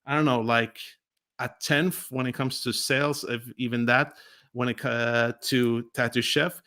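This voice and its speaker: A man's voice: mid-range voice, fairly even intonation, measured pace, bright, forceful tone. A man co-hosting a casual investor livestream, rambling and often ending phrases with a questioning tag. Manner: rambling, frequent "right?" tags. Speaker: co-host.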